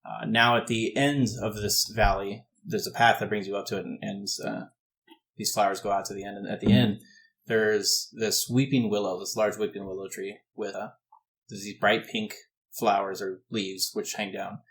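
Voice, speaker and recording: Male game master, lowish voice, halting improvised fantasy narration for a tabletop session. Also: level tone, fairly bright tone. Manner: halting, repeats and rephrases as he describes.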